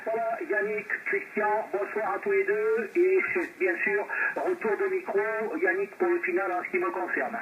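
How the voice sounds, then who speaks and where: A man's voice: high-pitched, lively intonation, moderate pace, hardly any pauses. A man chatting casually and courteously over two-way radio as an amateur operator, through a tinny, noisy, distant transmission. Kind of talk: casual radio contact chat.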